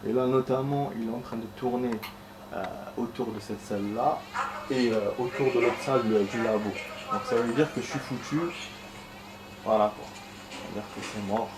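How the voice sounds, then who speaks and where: A man's voice: mid-range voice, steady tone, moderate pace. A man giving casual, rambling gameplay commentary, swearing now and then and talking to his viewers as friends. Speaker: gameplay streamer. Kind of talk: casual let's-play commentary. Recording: echoey room with clear background noise.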